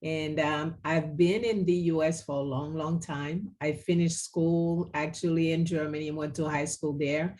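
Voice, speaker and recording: A woman from Haiti, a guest author, answering interview questions politely and in a measured way. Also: deep voice, steady tone, darkish tone. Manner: polite, measured, some fillers.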